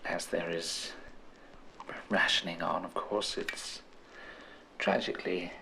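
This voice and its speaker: A man with an English accent doing an old-fashioned ASMR role-play, hushed and soothing, with genteel, formal turns of phrase. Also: deep voice, little pitch movement, vocal fry, slight background noise, poor audio. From England. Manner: slow, hushed, soothing delivery. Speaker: ASMR host.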